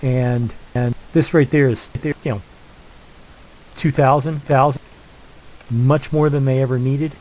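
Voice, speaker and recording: A man's voice: medium pitch, steady tone, unhurried, long pauses, a little mellow, low-quality recording. An older man hosting a game let's play, chatting casually and congested from a head cold, rambling with lots of fillers and restarts. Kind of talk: casual gameplay commentary.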